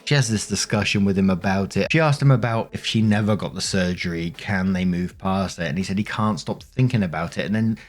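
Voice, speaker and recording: A man's voice: low-pitched, fairly quick, few pauses. A man narrating online posts, reading steadily and adding brief casual asides. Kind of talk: reading posts aloud with commentary.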